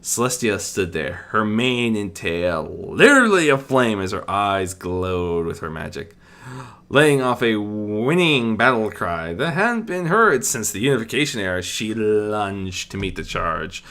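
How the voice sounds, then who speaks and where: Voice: low-pitched, pushed and bright. An amateur storyteller reading fiction expressively and chatting in casual asides.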